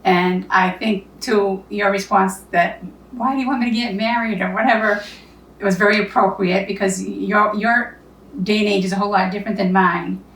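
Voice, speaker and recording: A woman's voice: medium pitch, fairly even intonation, normal speed, a bit bright, far from the mic. An older woman with a Massachusetts accent, speaking plainly and reflectively as a guest in a casual chat. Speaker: filmmaker's mother, guest. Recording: roomy sound, a bit off-mic.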